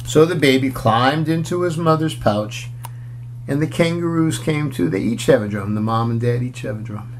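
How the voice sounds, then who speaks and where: A man's voice: medium pitch, moderate pace. Older man reading storybooks aloud over noise. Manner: simple, explanatory talk as if to young children. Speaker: children's storybook reader. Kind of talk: read-aloud storytelling with casual asides. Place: small furnished room indoors. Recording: clear background noise.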